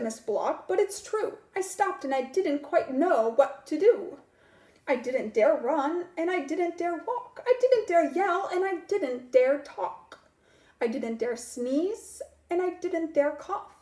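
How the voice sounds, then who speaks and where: A woman's voice: high-pitched, dark tone, roomy sound. A woman voicing a flustered young schoolboy who stammers through his excuses. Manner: stammering, earnest.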